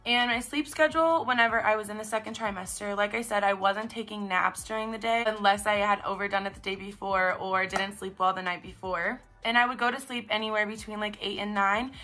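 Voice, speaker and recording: A young woman with a raspy, congested voice, chatting casually in a vlog. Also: mid-range voice, steady tone, brisk, few pauses, sharp tone, soft background music. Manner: chatty, upbeat, filler-heavy. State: congested, stuffed up. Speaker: vlogger. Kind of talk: casual pregnancy recap vlog.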